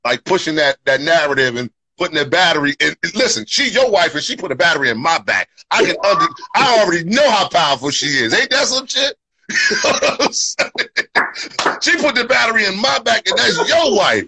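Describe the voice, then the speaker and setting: A man's voice: sits high, very animated, brisk, creaky, background noise. A man hosting a casual live podcast, talking in an upbeat, loose way with lots of fillers and "you know what I'm saying". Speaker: podcast host. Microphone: podcast microphone.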